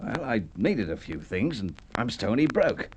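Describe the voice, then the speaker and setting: A man's voice: mid-range voice, big pitch swings, measured pace. An actor playing an anxious, broke man turned guilty killer, with an Australian accent, in Golden Age radio drama style. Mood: anxious, defensive. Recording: old radio recording with background hiss.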